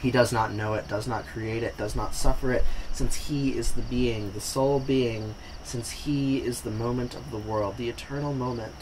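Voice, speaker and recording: A young man voicing a grave old male sage who speaks in a calm, commanding, measured way. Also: medium pitch, noisy background, natural voice. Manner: measured, declaiming.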